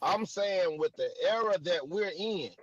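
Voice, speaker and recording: High-voiced guy, keeps restarting, kinda muffled sound. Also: expressive, unhurried, slight background noise. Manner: hesitant, stumbling restarts. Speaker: panelist. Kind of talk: casual panel chat.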